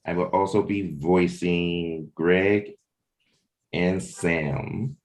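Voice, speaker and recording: A man hosting a livestreamed table read in a casual, informal way, pausing between short phrases. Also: deep voice, fairly even intonation. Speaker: host, comic book creator and narrator.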